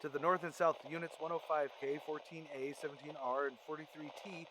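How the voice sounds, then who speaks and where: A man's voice: on the high side, fairly even intonation, brisk, sharp tone, a little hiss or hum, poor audio. Man as a clipped police radio dispatcher. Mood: brisk, official. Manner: clipped, procedural. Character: brisk, businesslike male police radio dispatcher. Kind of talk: radio crime drama acting.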